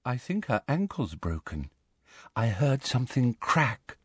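Man playing teenage girl, soft, hushed, dreamy, gentle, fantasy audiobook character. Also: mid-range voice, big pitch swings, a little slow, breathy edge, no echo, studio quality. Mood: hushed, sad.

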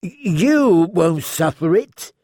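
A man voicing a coarse, mocking ranger who sneers slowly. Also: high voice, big pitch swings, mellow, dry recording, clean studio sound, pitched above the performer's own voice, full character voice. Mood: sneering.

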